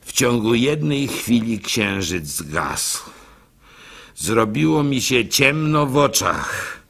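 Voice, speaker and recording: A man performing a first-person literary monologue for radio as narrator, in an expressive, folksy, conversational manner. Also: medium pitch, takes it slowly, gravelly. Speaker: narrator and storyteller.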